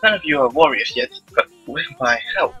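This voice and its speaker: A man's voice: a little high, animated, brisk, bright, forceful tone, husky, muffled recording, faint music behind, full character voice. A man voicing a stern, haughty young female warrior who scolds and commands, reading a visual novel aloud. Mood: stern, proud.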